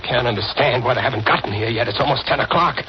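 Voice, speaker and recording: An actor playing an anxious, suspicious, hunted husband with urgent, excitable delivery in a 1940s radio thriller. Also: male voice, medium pitch, fast, natural voice. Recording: muffled, noisy vintage radio recording.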